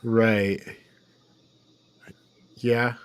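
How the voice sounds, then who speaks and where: A man's voice: mid-range voice, level tone, very slow, clear, resonant tone. A man co-hosting a podcast, talking casually about a film with short, agreeing interjections. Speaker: podcast co-host. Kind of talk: casual film review chat.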